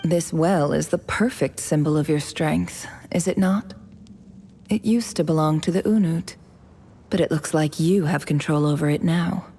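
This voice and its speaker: An actress playing a cool, calculating young woman in a video game, a scholarly envoy who speaks with measured, polite persuasion. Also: sits low, warm tone, husky. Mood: courteous, persuasive.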